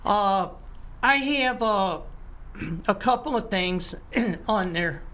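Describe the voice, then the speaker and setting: An older man, a guest musician, telling his story in a halting, casual way with many restarts and some throat clearing. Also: sits high, lively intonation, sharp tone. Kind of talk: casual interview talk.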